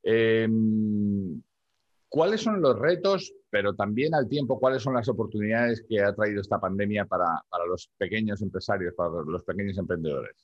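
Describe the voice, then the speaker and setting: A man hosting an interview, asking probing questions that he builds up out loud. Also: lowish voice, moderate pace, no room sound. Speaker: interview host. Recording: dry, dull lo-fi sound.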